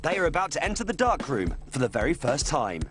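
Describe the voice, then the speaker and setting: A man's voice: on the high side, sing-song, fast talker. A man narrating a dating show in a scripted, announcing voice-over. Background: music.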